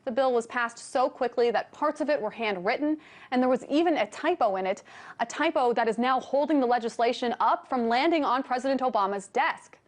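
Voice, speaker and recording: Woman news host, voice on the higher side, chatty interview style. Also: brisk. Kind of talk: news interview, conversational broadcast.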